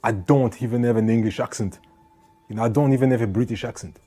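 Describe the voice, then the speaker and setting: A man born in France recounting a run-in with police in a conversational, animated way, full of asides to the listener. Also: medium pitch, fast. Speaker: vlogger. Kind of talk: storytelling to camera.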